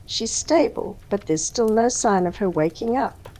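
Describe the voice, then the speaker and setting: A woman voicing a stern but compassionate infirmary matron in a fan audio story, gentle, firm and a little weary. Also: medium pitch, expressive, unhurried, low-quality recording. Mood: gently stern.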